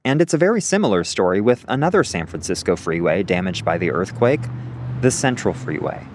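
A man's voice: low-pitched, big pitch swings, brisk, noisy background. A male reporter narrating a scripted radio feature, partly recorded outdoors in a city park.

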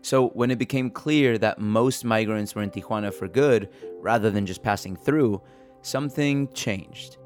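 A man's voice: lowish voice, normal speed, a little mellow. A man reporting and hosting in a scripted narration style. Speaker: podcast host and reporter. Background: music bed.

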